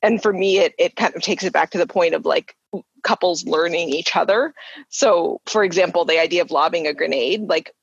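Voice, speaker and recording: A woman frankly telling a personal story in casual chat, earnest and quick to laugh. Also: fairly low pitch, vocal fry, breathy edge, no echo, muffled recording.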